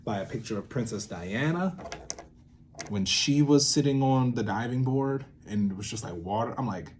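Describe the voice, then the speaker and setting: A man hosting a casual vlog, thinking aloud in an informal, rambling way with sudden drawn-out, emphatic words. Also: mid-range voice, expressive, a little hiss or hum. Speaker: video series host, theatre maker. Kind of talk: casual unscripted creative-process talk, thinking aloud.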